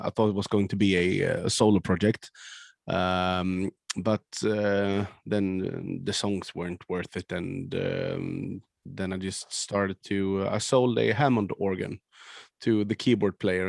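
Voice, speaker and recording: Swedish guy, low gravelly voice, lots of uh and um, dry close sound. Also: dry recording. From Sweden. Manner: halting, many ums and restarts. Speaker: band founder and singer. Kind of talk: casual interview over a call.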